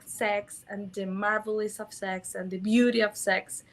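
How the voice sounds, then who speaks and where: Guest, young adult woman from Mexico, Spanish-accented English, earnest and a bit halting, mid-pitched. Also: flat delivery. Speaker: intimacy educator guest. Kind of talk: casual conversational interview.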